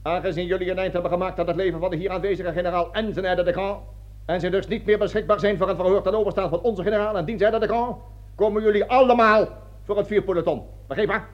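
An actor playing a pompous, fanatical army colonel with a declamatory manner in a satirical radio play. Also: male voice, sits high, steady tone, fast talker, close to an everyday voice. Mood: bombastic, accusing. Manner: declamatory speeches, barking orders, stammering when flustered. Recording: dull lo-fi radio drama recording.

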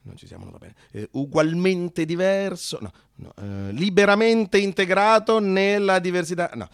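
Cattolico di sinistra agitato, voce acuta. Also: male voice, high-pitched, lively intonation, moderate pace, vocal fry, clean tone. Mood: agitated. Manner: stammering, repeating phrases, swinging from muttering to shouting. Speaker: narrator-actor of a satirical monologue. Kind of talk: theatrical comic monologue for radio.

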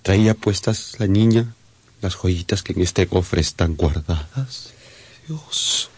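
A low male voice, a noble magistrate in a radio play. He reads aloud gravely and formally, shaken with astonishment. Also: slow pace. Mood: stunned, grave. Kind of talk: radio drama acting, reading aloud.

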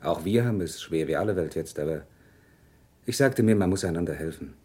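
An actor playing a stern, upright shopkeeper and husband in a radio play, measured, gruff and authoritative. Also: male voice, low voice, expressive, darkish tone. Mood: weary, measured. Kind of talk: German radio play acting.

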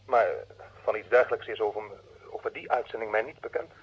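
Broadcasting official, quick-talking, very hoarse-edged voice, careful and polite, dull old radio drama recording. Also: male voice, on the low side, fairly even intonation. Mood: cautious. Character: cautious, obliging broadcasting official. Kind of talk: radio play acting.

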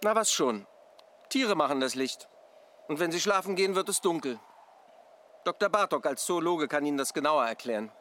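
A man's voice: fairly high pitch, animated, normal speed, long pauses, a bit bright, slight background noise. An actor playing a bossy, matter-of-fact male maintenance engineer in a German radio drama. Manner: brusque, matter-of-fact, instructing.

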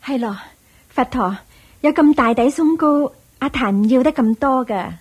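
An actress playing an outspoken, practical woman in a radio drama, with a husky voice. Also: sits high, measured pace. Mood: matter-of-fact. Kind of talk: Cantonese radio drama acting.

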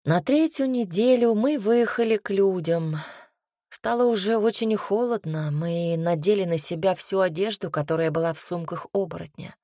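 Woman narrator for a fantasy audiobook, wry and ironic, dry close mic, mid-pitched voice. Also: darkish tone, dry recording.

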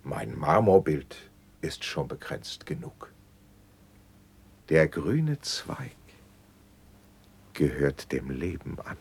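Dignified court nobleman, deep voice, slow, sweeping rhetorical swings, ceremonious verse delivery, husky. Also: big pitch swings, a little mellow, full character voice. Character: dignified, gracious noble male patron at court. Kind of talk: classical verse drama declamation.

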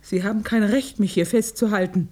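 A woman's voice: medium pitch, fairly even intonation, fairly quick, warm tone, no room sound, lightly acted. A woman playing a defiant old peasant woman in a German radio drama, curt and insistent as she guards her own ground. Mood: stubborn, protesting. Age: older.

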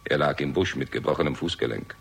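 A man's voice: rumbling low, quick pace, gravelly, a bit bright, slightly rough, heavily acted. A man playing a bitter, cynical war veteran in a German radio crime drama, speaking in a curt, sardonic way. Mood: grim, bitter.

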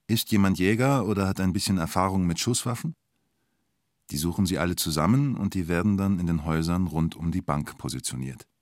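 An actor playing a reflective narrator of a police siege, speaking in terse, understated observations for a radio drama. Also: male voice, sits low, dry recording, studio quality. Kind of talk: literary radio drama narration in present tense.

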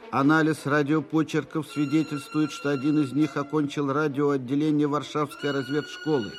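A man's voice: a little high, natural voice. Man as stern official reader of military dispatches. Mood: urgent, official. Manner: official, precise, impersonal. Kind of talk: formal dispatch reading in a radio play. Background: music.

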